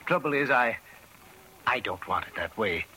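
An actor playing a courteous, earnest, middle-aged gentleman suitor in a 1940s radio drama. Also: mid-range voice, lively intonation, moderate pace. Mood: earnest, smitten. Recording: faintly noisy old radio recording.

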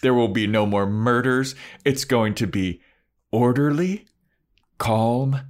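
A man's voice: medium pitch, slow, a little mellow. A man co-hosting a podcast, casually recapping a TV episode in slangy, informal storytelling and paraphrased dialogue. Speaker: podcast co-host.

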